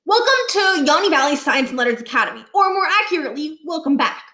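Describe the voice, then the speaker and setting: A woman's voice: high-pitched, lively intonation, fast talker, sharp tone, echoey room, voice pushed up. A woman voicing a cheerful, falsely polite schoolboy prankster in a storybook, with an eager, ingratiating manner.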